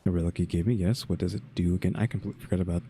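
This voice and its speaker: Deep, mellow man, laid-back gamer. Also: steady tone, very fast, husky. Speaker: gaming channel host. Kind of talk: casual let's-play commentary and reading game dialogue aloud. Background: game music.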